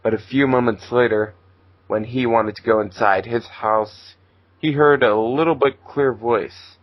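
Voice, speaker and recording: A man's voice: medium pitch, steady tone, measured pace, no echo. Male fanfic narrator, dull lo-fi mic. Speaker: fan fiction reader and narrator. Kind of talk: amateur story narration and casual chat.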